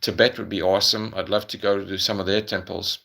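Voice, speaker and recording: A middle-aged South African man talks through viewers' questions on a live stream at home. His voice sits a little low but sounds bright and forward. He pauses often, with plenty of ums and uhs and restarts. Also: little pitch movement, vocal fry. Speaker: medium answering viewer questions on a livestream. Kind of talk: casual livestream Q&A.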